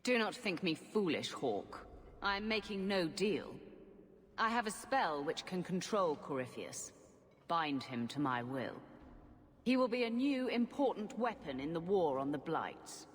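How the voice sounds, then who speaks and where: A woman's voice: lowish voice, big pitch swings, a little slow. An actress playing a zealous, determined woman mage in a fantasy video game, earnest and insistent in her speech. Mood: insistent, resolved. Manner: earnest, insistent, coolly persuasive. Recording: long hall reverb, background ambience noise.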